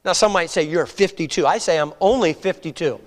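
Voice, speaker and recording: A man's voice: a little high, a little fast, big-room sound. A man in his fifties preaching a conversational sermon, full of rhetorical questions and frequent checks like "all right?". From United States. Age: 50s. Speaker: pastor.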